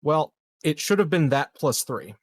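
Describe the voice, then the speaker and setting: A man playing a tabletop roleplaying game, chatting casually and hesitantly as he thinks out loud. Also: fairly high pitch, lively intonation, a little slow, no room sound.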